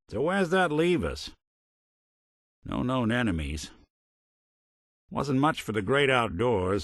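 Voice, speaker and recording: A world-weary old android private eye from a video game. The low voice moves in lively swings of pitch, wry but kindly, with 1940s noir patter. The recording is close and dry. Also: male voice, husky, no echo, heavily acted. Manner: wry, dry, old-fashioned gumshoe slang. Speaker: detective.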